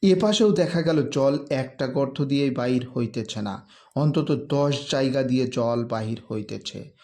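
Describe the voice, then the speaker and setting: A man reads a literary story in a theatrical, measured, emphatic narration. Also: mid-range voice, darkish tone. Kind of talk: dramatic literary audiobook-style narration.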